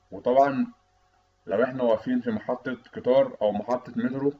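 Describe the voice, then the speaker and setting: Egyptian guy explaining technical stuff like a teacher, mid-pitched voice, kinda muffled lo-fi recording. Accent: Egyptian Arabic. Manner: didactic, asks and answers own questions. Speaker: instructor. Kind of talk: explanatory technical lecture over slides. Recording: dull, lo-fi voice-over recording.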